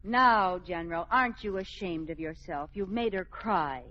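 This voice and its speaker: An actress playing a well-meaning, scheming housewife in a 1940s radio comedy, coaxing and theatrical. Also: female voice, on the low side, moderate pace, close to an everyday voice. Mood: exasperated. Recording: noisy, dull old radio recording.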